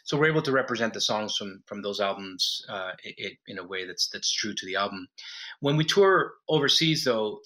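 Man, from Mexico, low voice, bright tone, phone interview, rambling, restarts. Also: a little fast. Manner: relaxed, rambling with restarts and laughs. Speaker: musician guest. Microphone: phone line.

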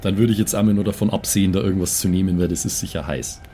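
A man's voice: low voice, very fast, mellow, soft background music. A man playing a tabletop role-playing game, speaking in a casual, improvised way. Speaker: tabletop role-play player.

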